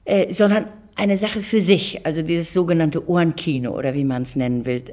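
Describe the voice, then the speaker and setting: A woman's voice: low voice, fairly even intonation, moderate pace, dark tone. An older woman, reminiscing conversationally as an interviewed producer, emphatic and repeating words for stress. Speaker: interviewee, audio drama producer.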